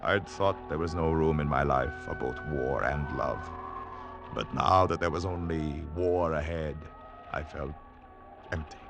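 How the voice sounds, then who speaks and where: A man's voice: rumbling low, measured pace, creaky, full character voice. A man playing a weary, restrained wartime agent in love, in 1940s radio drama style. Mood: somber, reflective. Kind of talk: 1940s radio acting with first-person narration. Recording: old radio broadcast, loud music behind.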